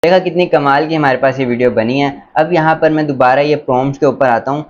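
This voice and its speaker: A man presenting a casual step-by-step screen tutorial in an instructional, enthusiastic way, mixing in English words. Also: mid-range voice. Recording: dull lo-fi sound, faint background noise.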